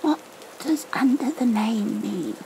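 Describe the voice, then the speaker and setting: A woman's voice: a little high, expressive, slow pace, vocal fry, dark tone, a little hiss or hum, exaggerated voice, rough recording. A woman reading a storybook as a sweet, curious young boy who asks earnest, pleading questions. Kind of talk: storybook read-aloud.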